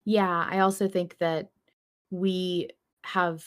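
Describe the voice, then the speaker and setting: Low-pitched woman, casually sweary podcast talk. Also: little pitch movement, slow pace. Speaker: podcast host. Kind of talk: casual fan discussion podcast.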